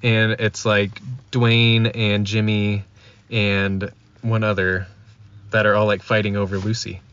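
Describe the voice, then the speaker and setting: A man as a podcast guest in casual chat, giving brief, low-key answers. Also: flat delivery, slow pace, fairly bright tone, clear, resonant tone, dry recording, lo-fi sound.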